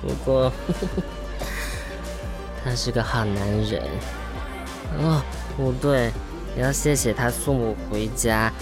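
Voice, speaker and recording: Slow, drunken heartbroken Taiwanese guy. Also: medium pitch, over music. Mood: tipsy musing. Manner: slurred, tipsy rambling. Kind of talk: Mandarin radio drama acting.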